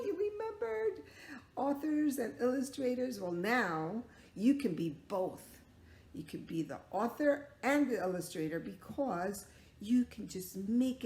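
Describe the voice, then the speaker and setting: Slow, echoey woman storyteller for children. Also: medium pitch, darkish tone. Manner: encouraging, asks questions, acts out sound effects. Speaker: teacher telling stories to young children. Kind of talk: storytime for young children, animated improvised storytelling. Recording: roomy echo, clear background noise.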